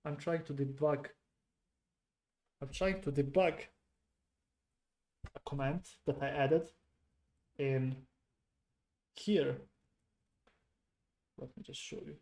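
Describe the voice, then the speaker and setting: Unhurried man talking himself through code, soft mellow voice, halting and mumbled. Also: mid-range voice, steady tone. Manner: slow, halting, muttering to himself. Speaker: programming streamer. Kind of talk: thinking aloud while debugging code.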